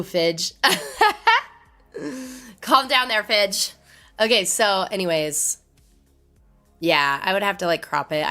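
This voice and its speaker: A woman with a hoarse, strained voice sitting a little low in pitch. She talks casually to her stream viewers and rambles on while she replies to their comments. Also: pushed and bright. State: hoarse from laryngitis. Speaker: musician livestreamer.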